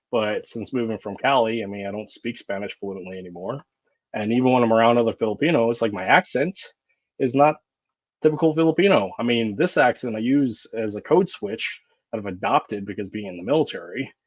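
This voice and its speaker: A man's voice: on the low side, no room sound. A man hosting a podcast in casual conversation, rambling and reflective, with many fillers and restarts. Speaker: podcast host. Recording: dull, dry lo-fi sound.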